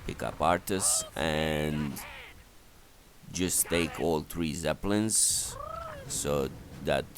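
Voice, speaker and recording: A man with a very deep, creaky voice narrates a game walkthrough. He speaks slowly and haltingly, laying out instructions one step at a time. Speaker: video game walkthrough commentator. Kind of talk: instructional strategy game commentary. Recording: clearly noisy voice recording.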